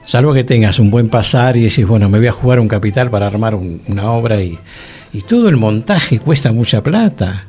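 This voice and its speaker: A man's voice: lowish voice, animated, fairly quick, a little mellow, breathy edge, soft background music. A man with an Argentine accent chatting casually on a radio panel, musing and often checking for agreement. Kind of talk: casual conversational radio discussion.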